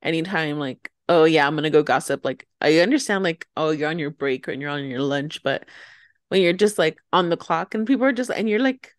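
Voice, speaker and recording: A woman's voice: deep voice, expressive, brisk, no echo, clean studio sound. A young woman co-hosting a podcast in casual chat, rambling and filling her talk with "like". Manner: rambling, heavy use of "like". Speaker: podcast co-host.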